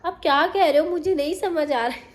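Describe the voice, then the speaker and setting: A young woman, a mother, chatting to the camera at home in a casual family vlog and coaxing her toddler, her voice hoarse from a cold. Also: high-pitched, a little fast. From Himalayan hill region of India.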